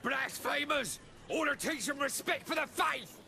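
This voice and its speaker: An actor playing a belligerent religious zealot in a fantasy game, shouting threats like a rabble-rouser. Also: male voice, extremely high pitch, animated, unhurried, gravelly, slight background noise, heavily acted. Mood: outraged.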